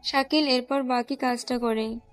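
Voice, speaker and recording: A woman's voice: sits high, fairly even intonation, unhurried, soft background music. A woman reading scripted narration for a romantic audio story.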